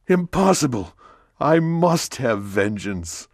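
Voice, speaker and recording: A man's voice: mid-range voice, very animated, moderate pace, no room sound, studio quality, pitched above the performer's own voice. A man voicing a cold, vengeful middle-aged nobleman who speaks in a stern, bitter, accusing way.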